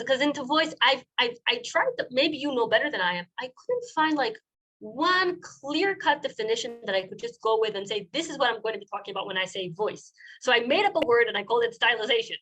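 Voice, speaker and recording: A woman with a fairly high voice answers questions over a video call. She thinks aloud, restarting often, and grows animated as she goes. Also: breathy edge, rough recording. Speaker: guest lecturer, doctoral student.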